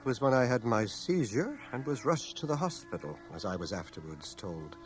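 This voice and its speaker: An actor playing a reflective older gentleman, narrating his past in a literary, expressive radio drama style. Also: medium pitch, moderate pace, over music, close to an everyday voice. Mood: reflective, dramatic. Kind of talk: scripted first-person radio drama narration. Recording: cassette transfer of 1980 radio broadcast.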